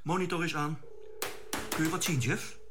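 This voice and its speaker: An actor playing an excitable, wry crewman aboard a spaceship in a radio drama, questioning and a little uneasy. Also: on the high side, normal speed, background noise, lightly acted. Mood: alarmed. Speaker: crew member.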